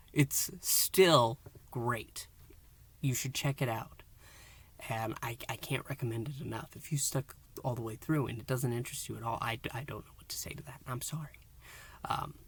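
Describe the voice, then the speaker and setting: Male podcast host, mid pitch, thinks out loud in a casual solo review, close and dry. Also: no room sound. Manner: thinks aloud with frequent restarts and asides.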